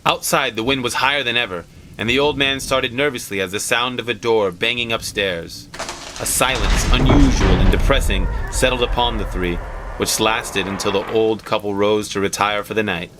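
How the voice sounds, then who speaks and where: A man reading a story aloud as an expressive scripted narration. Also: fairly low pitch, normal speed, background noise. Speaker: story reader.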